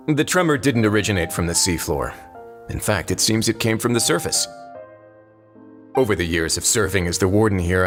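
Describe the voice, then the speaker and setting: Low-voiced, composed warden duke. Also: male voice, a bit bright. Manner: measured, dryly teasing. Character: composed, wry prison warden duke, adult man. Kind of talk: video game character acting. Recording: long reverb, music behind.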